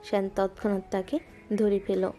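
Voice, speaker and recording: A woman gently narrating a scripted romantic audio story. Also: medium pitch, level tone, moderate pace. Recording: music bed underneath.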